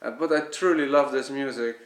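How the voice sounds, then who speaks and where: A man with a Dutch accent, a native Dutch speaker, giving an earnest, unscripted personal testimony, often halting and searching for words. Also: medium pitch. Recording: echoey, roomy sound.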